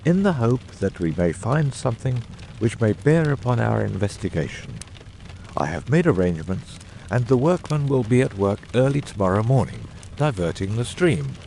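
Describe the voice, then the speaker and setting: A man voicing a grave, theatrical, masterful detective in a dramatised audiobook, with measured, eloquent and commanding delivery. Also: medium pitch, big pitch swings, creaky, warm tone, slight background noise.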